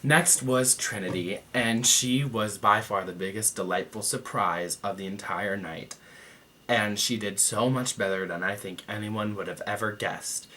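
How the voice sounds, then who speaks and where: A man's voice: on the low side, measured pace, pushed and bright, a little hiss or hum, low-quality recording. A young man giving a sassy, profane, gossipy review to camera as a vlogger. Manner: sassy, profane and gossipy, with mocking quoted voices. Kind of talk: casual talk to camera.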